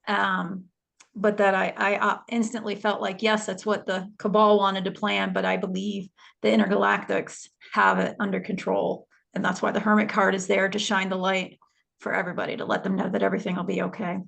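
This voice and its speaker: Brief: woman tarot reader, very gravelly and flat, confident and speculative, with trailing "um"s. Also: mid-range voice, fairly quick. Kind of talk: casual spiritual panel chat.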